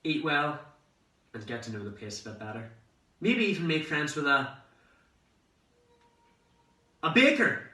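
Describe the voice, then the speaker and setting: A man performing a theatrical monologue as a cheeky, excitable young man telling of his summer abroad, in a dramatic conversational way. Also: fairly high pitch, heavily acted. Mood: eager, dreamy. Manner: dramatic, conversational storytelling with swearing and pauses. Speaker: amateur actor performing a monologue. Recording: roomy echo, distant microphone.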